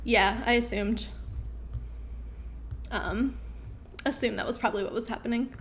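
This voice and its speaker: A woman in her thirties chats casually through viewer questions on a live stream, upbeat and full of ums. Her voice sits fairly high and is starting to wear out. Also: fairly even intonation, a little slow. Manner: chatty, upbeat, with frequent ums. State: losing her voice.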